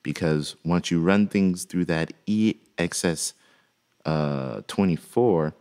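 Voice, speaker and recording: A man with a deep voice teaching music production in a relaxed, folksy way, with many "uh" fillers. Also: slow pace, smooth. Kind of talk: casual software tutorial.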